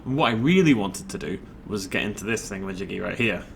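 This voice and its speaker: A man's voice: on the low side, lively intonation, fast talker, creaky, slight background noise. A man casually rambling while commenting on his own gameplay, chatty and self-deprecating. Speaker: let's play host.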